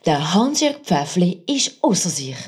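A woman with a Swiss accent and a husky voice playing a conceited, sensation-hungry radio crime reporter in a children's audio drama. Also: on the low side, big pitch swings, a little slow, heavily acted. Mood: dramatic, self-important.

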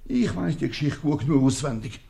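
An actor with a Swiss accent playing a knowing, lecturing, needling tavern acquaintance in a 1950s radio comedy. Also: male voice, on the high side, big pitch swings, brisk, gravelly, dark tone. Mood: taunting.

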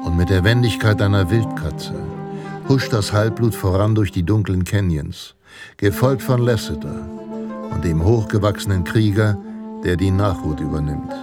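An actor narrating a grave Western daydream in a radio play, in a solemn, epic storytelling manner. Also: male voice, fairly low pitch, moderate pace, over loud music, lightly acted.

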